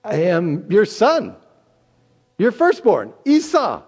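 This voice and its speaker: A man playing a cheated elder son, loud, bitter and pleading, in a dramatic scripture reading. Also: high voice, huge pitch swings, slow, breathy edge, voice pushed up, heavily acted. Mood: eager. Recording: reverberant church hall.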